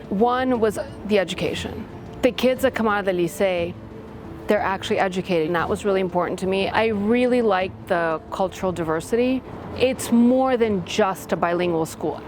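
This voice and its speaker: A frank woman from Latvia speaks in a candid testimonial at a middle pitch, mixing self-deprecating humor with open feeling. Her voice sits over a clear bed of background music. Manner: heartfelt, open about feelings. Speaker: school parent and board member.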